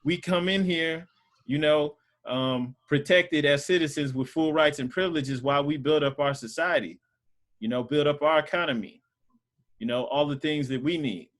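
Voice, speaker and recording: A man's voice: a little high, normal speed, fairly bright tone. A man casually teaching a Sunday school group over a video call, talking loosely with lots of fillers. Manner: loose and conversational, many fillers.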